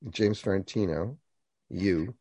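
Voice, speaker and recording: A man hosts a radio interview in a low, mellow voice, recorded dry and close. He comes across as genial and chuckles often. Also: slow pace, slightly rough, no echo. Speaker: radio host.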